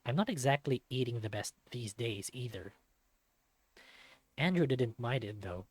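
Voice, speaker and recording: A man's voice: medium pitch, animated, unhurried, a little mellow, no room sound. Wry young otter voiced by a man, dry sound. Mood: wry, subdued. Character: self-deprecating young male otter, college dropout. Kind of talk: first-person visual novel narration.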